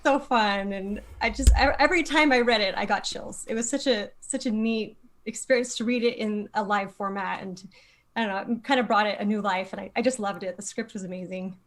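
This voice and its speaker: A woman guest telling a casual anecdote in an excited, gushing way. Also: medium pitch, brisk. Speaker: amateur table-read guest.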